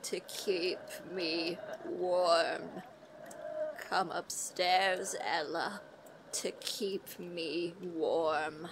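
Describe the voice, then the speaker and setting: A woman voicing a dead old mother-in-law in a horror radio play, a cold, menacing corpse with a breathy, drawn-out whisper. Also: fairly low pitch, steady tone, noisy background, voice pushed down. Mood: cold, eerie. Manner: drawn-out, halting.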